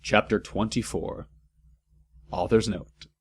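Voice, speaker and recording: A man's voice: fairly low pitch, fairly even intonation, a little hiss or hum. A man giving a comic, mock-serious dramatic reading of badly written fan fiction, keeping its mistakes and adding asides. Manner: mock-serious, deliberately reads out misspellings and mistakes.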